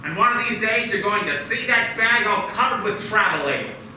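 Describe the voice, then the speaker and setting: Actor as an earnest young dreamer, high animated voice, echoey room, mic far off and noisy. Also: male voice, moderate pace, exaggerated voice. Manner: earnest, eager, stammering at times. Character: restless young man eager to leave his small town.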